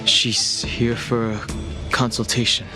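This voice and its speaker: A man's voice: mid-range voice, level tone, slow, over music, natural voice. An actor playing a reserved, blunt young man in a game cutscene, with understated delivery. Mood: subdued.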